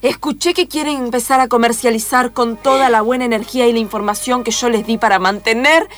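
A woman's voice: a little high, fairly even intonation, brisk, fairly bright tone, natural voice. A woman playing a preachy, idealistic but temptable new-age hippie in an improvised comedy radio play. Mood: preachy, indignant. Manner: preachy, theatrical.

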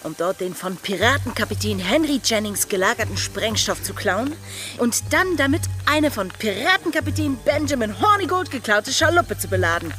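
A daring young pirate woman, with a slightly high voice. She speaks in dramatic radio-play style over clearly audible music. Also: sing-song, moderate pace, bright, forceful tone. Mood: excited, scheming. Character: daring young female pirate disguised as a lad. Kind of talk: German radio play acting. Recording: music behind the voice.

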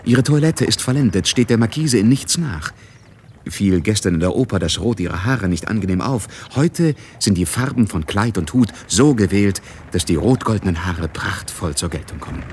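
An actor playing a composed, knowing narrator in a radio drama, delivering literary narration in a measured, wry way. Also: male voice, lowish voice, lively intonation, darkish tone, a little hiss or hum, lightly acted. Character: knowing, composed male narrator of a society novel.

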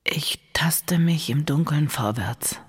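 An actress playing a woman narrating a dark, trancelike inner monologue in hushed, breathy, deliberate short phrases. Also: low voice, exaggerated voice. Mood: hushed, eerie. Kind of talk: intimate audio drama monologue.

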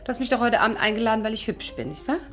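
Teasing, stubborn young sweetheart. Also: female voice, a little high, expressive, rapid-fire, fairly bright tone. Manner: teasing, dry, playfully evasive. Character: witty, pretty, food-loving young woman, playfully stubborn. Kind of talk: 1950s German radio drama acting. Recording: 1950s radio recording, music behind.

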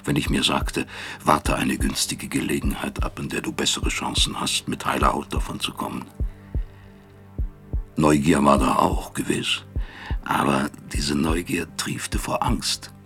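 A man acting a weary, self-accusing professor who recalls his past in a radio drama, speaking deliberately with a gravelly, husky voice. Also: extremely low pitch, level tone, fairly bright tone, soft background music, heavily acted. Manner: deliberate, reflective, measured. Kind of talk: radio drama first-person narration.

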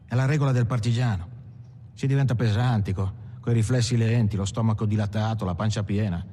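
An actor playing a militant gangster who coolly gives first-person testimony in measured, clipped, emphatic short phrases. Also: male voice, lowish voice, dark tone, close to an everyday voice. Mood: reflective. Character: militant gangster coolly recalling armed action. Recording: faint background noise and ambience.

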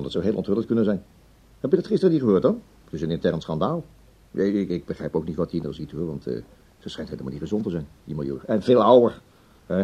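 An actor playing a brusque, gossipy, busy broadcasting boss in a radio drama, husky-voiced, chatty and dismissive. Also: male voice, sits low, mellow, heavily acted. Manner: dismissive, chatty, repeats himself. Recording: dull old radio drama recording.

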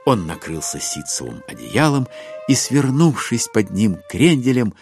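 A man narrating a literary audio drama in an expressive scripted style. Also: mid-range voice, unhurried, soft background music.

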